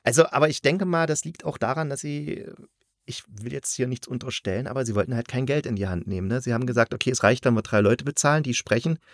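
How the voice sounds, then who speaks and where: A man's voice: medium pitch, quick pace, no echo, studio quality. A man hosting a casual review conversation, thinking aloud in a colloquial, rambling way. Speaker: podcast host and audio drama reviewer.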